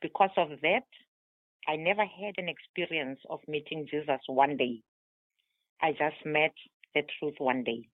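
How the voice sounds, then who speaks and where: A woman from South Africa whose first language is Tswana, a guest author calling in to an interview and telling her life story in a measured, deliberate way. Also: low voice, steady tone, no echo. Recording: dry, tinny phone line.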